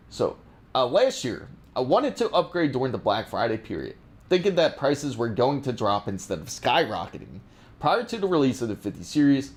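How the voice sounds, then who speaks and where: A man hosting a live tech stream, talking casually and reading viewer questions aloud, with plenty of fillers and restarts. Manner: lively pitch. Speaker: tech livestream host answering chat questions.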